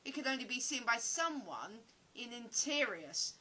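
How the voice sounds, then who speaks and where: A woman acting in an audio play as a sharp, suspicious woman who interrogates a stranger in an accusing, theatrical, easily indignant way. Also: fairly high pitch, big pitch swings, moderate pace, full character voice.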